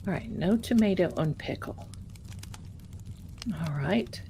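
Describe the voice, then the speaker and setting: A middle-aged woman casually chatting with her live-stream viewers as a tarot reader, rambling warmly and calling them her darlings. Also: fairly low pitch, lively intonation, takes it slowly, creaky, a little hiss or hum.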